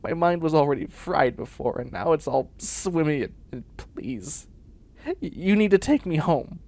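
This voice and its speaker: Animated, whiny, bossy catboy, acted by a man. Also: a little high, measured pace, full character voice. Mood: flustered, demanding. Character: bossy, clingy young man turning into a catboy. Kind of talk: comedic roleplay audio drama acting.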